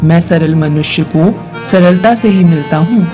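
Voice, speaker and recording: A woman plays a benevolent male god from a devotional cartoon tale. The voice is low and mellow, speaking gently and without hurry, with music underneath. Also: measured pace, close to an everyday voice. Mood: calm, benevolent. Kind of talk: animated devotional moral story dialogue. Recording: music behind the voice.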